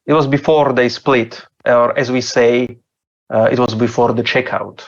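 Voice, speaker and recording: A man's voice: mid-range voice, steady tone, moderate pace, lo-fi sound. A man from Slovakia doing open mic stand-up, hesitant, with many fillers and restarts. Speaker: stand-up comic.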